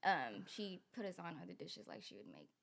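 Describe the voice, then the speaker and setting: A woman's voice: lowish voice, very animated, fairly quick, gravelly, a bit bright. Slangy Bay young woman. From Vallejo, California. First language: Tagalog. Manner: loose slangy talk full of "like" and restarts. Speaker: guest singer-songwriter. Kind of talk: casual chat.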